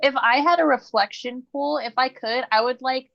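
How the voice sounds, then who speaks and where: Female cast member, fairly high voice, dry sound, giggly informal delivery on a video call. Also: normal speed, vocal fry, a bit bright, no echo, lo-fi sound. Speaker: actor in a cast Q and A. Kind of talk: casual chat.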